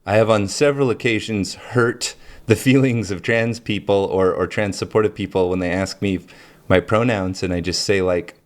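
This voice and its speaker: A man with a low voice hosting his own channel, talking through ideas in a rambling, reflective monologue full of fillers and frequent laughter. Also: fairly even intonation, moderate pace. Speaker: channel host.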